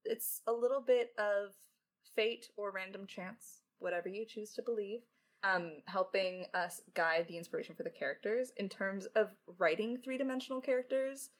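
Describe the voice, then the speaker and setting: A talkative woman speaking as a podcast writer in a casual Q&A, reflective and halting, with self-deprecating asides. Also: medium pitch. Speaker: podcast lead writer answering questions. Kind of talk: casual creator Q&A.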